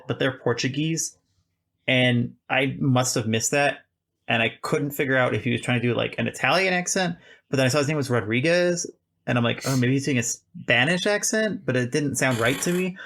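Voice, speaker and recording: A man with a gravelly, creaky voice talks about movies in a loose, rambling way, circling back and restarting his thoughts. He sounds like a podcast host in casual conversation, at an ordinary middle pitch. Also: lively intonation, brisk, fairly bright tone. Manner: chatty, rambling, frequent "like" and restarts. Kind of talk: casual film discussion.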